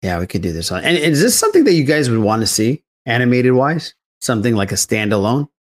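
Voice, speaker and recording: Man with animated, swinging pitch. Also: fast talker, a little hiss or hum. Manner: reactive, bantering. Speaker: podcast host. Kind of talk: casual chat, moderating.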